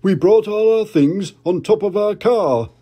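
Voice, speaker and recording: A man's voice: high voice, expressive, a little slow, mellow, breathy edge, voice pushed up, exaggerated voice. An older man voicing a jolly, bumbling father pig in a read-aloud storybook, hearty and a little sheepish.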